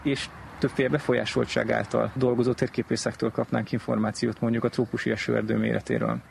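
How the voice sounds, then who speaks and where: Male interviewee, gravelly mid-pitched voice, explanatory talk over faint outdoor ambience. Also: slight background noise.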